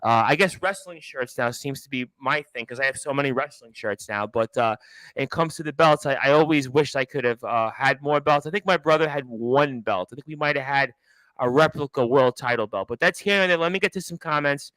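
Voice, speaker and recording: A man's voice: medium pitch, brisk, bright, forceful tone, no echo, poor audio. Chatty New man hosting, dry sound. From Brooklyn, New York. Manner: chatty, rambling, repeats himself. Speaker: radio show host. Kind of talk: casual interview chat.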